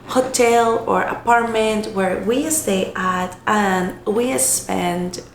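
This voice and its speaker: Young woman from Costa Rica, native Spanish, hoarse and raspy from a cold, unhurried to-camera vlog chat in an echoey room with faint hiss. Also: mid-range voice. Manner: hesitant, self-correcting, unhurried. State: hoarse from illness. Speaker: vlogger.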